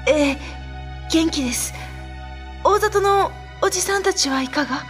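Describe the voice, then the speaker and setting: A woman as a very high-pitched, timid younger sister. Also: unhurried, fairly bright tone. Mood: nervous, evasive. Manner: hesitant, polite, anxious. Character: timid, anxious young younger sister seeking her brother. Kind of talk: radio drama acting. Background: background music.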